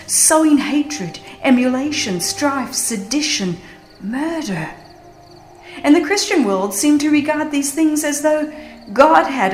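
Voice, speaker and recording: A woman reading a religious audiobook as a dramatized narration, with solemn, emphatic and deliberate phrasing. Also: medium pitch, reverberant.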